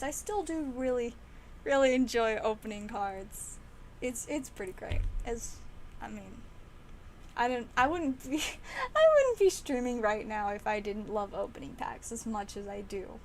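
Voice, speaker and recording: A woman hosting a card-opening livestream, chatting casually and excitedly, her voice slightly raspy and strained. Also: on the high side, a little slow, a little hiss or hum. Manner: chatty and excitable, with frequent laughs. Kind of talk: casual livestream chat while opening packs.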